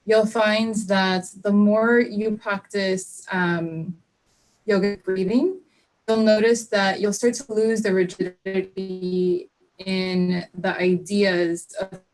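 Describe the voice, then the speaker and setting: A woman teaches yoga over a video call, speaking softly and slowly as she guides a reflection. Her voice sits in an ordinary middle range, with frequent pauses and fillers. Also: mid-range voice, poor audio. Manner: soft, unhurried guiding with many fillers. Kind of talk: live online class, guided reflection.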